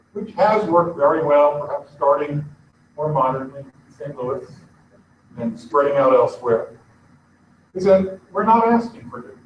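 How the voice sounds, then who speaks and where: A man giving an informal address to his congregation, earnest and conversational, pausing now and then to find his place. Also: a little high, slight background noise. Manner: earnest, conversational, pauses to look things up. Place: synagogue sanctuary. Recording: echoey, distant, dull live stream.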